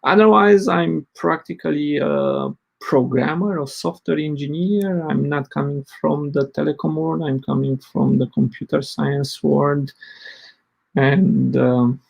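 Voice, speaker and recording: Man, gravelly voice, slow and halting, conference talk. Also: on the high side, highs cut off. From Romania. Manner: hesitant, many fillers. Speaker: open source project lead presenting.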